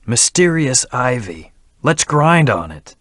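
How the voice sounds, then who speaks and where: A man's voice: mid-range voice, very animated, slow, a bit bright, dry recording, clean studio sound. A man voicing a brooding, terse hedgehog antihero in a video game, with a serious, clipped, commanding delivery. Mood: brooding, measured. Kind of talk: video game character voice lines.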